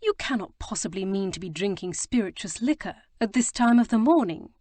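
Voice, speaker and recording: A woman voicing a flustered, earnest young gentlewoman who stammers and rushes to explain herself. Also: mid-range voice, expressive, no echo, lightly acted. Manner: breathless, stammering, eager to explain. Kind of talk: audiobook character reading.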